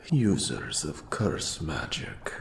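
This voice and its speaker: A man voicing an eldritch mentor of dark magic in a scripted fantasy roleplay, whispering gravely with ominous, formal, archaic speech. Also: huge pitch swings, takes it slowly, heavy background noise, heavily acted. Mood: ominous warning. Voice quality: breathy whisper with gravelly low rasp. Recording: roomy echo on the voice.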